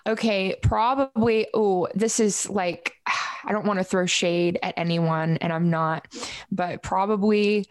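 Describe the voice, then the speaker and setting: A woman with a low voice chatting casually and informally as a musician guest in an interview, rambling as she goes. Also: steady tone, slow pace, dry recording.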